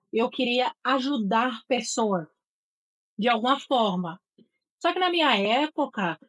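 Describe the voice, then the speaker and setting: A middle-aged woman with a clear Northeastern Brazilian accent speaks in a fairly high register. She tells her story as an interview guest, with assured, articulate delivery. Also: rough recording. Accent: Northeastern Brazilian (Sergipe). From Aracaju, Sergipe, Brazil. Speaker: guest, voice and speech therapist.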